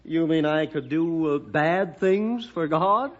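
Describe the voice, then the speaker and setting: A man's voice: on the high side, slow pace. An actor playing a glib, cynical, money-minded young man in an old radio drama, breezy and dismissive. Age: young adult. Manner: breezy, dismissive, self-satisfied. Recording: dull, noisy old radio recording.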